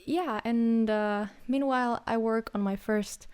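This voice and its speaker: A young adult woman from Greece, a singer and songwriter, answering interview questions in an unhurried way, with many fillers and restarts. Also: on the high side, fairly even intonation, a little mellow, clear, resonant tone.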